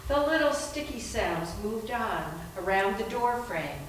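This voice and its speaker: Woman, readers theater narrator, mid pitch, unhurried, far from the mic, faint room noise. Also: lively intonation. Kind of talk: dramatic scripted narration. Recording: very echoey room, distant mic, background noise.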